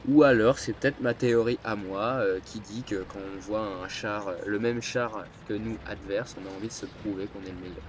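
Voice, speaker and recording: A man's voice: on the low side, steady tone, normal speed, few pauses, background noise. A man with a cold and a stuffy nose, rambling and hesitant as he casually talks over his gameplay. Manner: rambling, hesitant, many filler sounds. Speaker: gameplay commentator.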